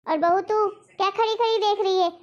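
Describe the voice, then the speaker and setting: A woman voicing a greedy, bossy middle-aged mother-in-law in a Hindi cartoon drama, nagging and insistent, with a pitch-shifted voice. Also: steady tone, normal speed. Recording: dull lo-fi sound.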